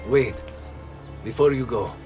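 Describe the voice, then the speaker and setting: An actor playing a dignified, statesmanlike nobleman in a video game, speaking in a measured, courtly way with an Italian accent. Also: mid-range voice, darkish tone, soft background music, lightly acted. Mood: measured gravity. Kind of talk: video game dramatic acting.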